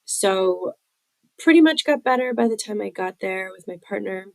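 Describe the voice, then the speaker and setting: A woman's voice: medium pitch, lively intonation, moderate pace, creaky, no room sound. A woman hosting a personal recovery podcast, congested from a head cold, talking candidly with many fillers. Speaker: podcast host.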